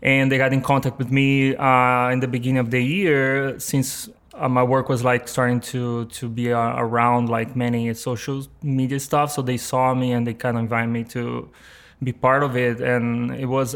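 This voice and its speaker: A Brazilian man in his thirties talks casually as an interview guest. He has a Portuguese speaker's accent and an average male pitch. His phrases come haltingly, pieced together as he goes. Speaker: podcast guest, motion and 3D artist.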